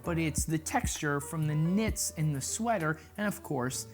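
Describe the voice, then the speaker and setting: A man's voice: a little high, expressive, unhurried, faint music behind. A man presenting a casual fashion vlog, chatty and playful, with jokey asides. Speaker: fashion vlogger. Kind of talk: casual style-tips vlog.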